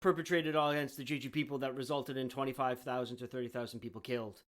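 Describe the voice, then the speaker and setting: A man co-hosts a relaxed conversational podcast. He is chatty and explanatory, and he laughs easily. His voice sits at a medium pitch. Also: fast. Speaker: podcast co-host. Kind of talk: casual podcast chat.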